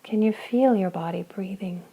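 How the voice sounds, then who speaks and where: A woman guiding a body scan meditation, speaking slowly and gently with long pauses between phrases. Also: medium pitch, husky, low-quality recording. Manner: slow, soft, gentle guidance with long pauses. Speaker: meditation guide.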